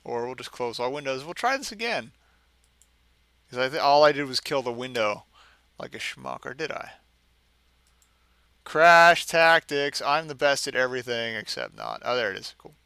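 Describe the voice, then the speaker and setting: A man's voice: medium pitch, very animated, moderate pace, creaky, long pauses, pushed and bright, smooth, dry recording. A man hosting a gaming stream, mixing casual commentary and mock dramatic reading with sarcastic, self-deprecating asides.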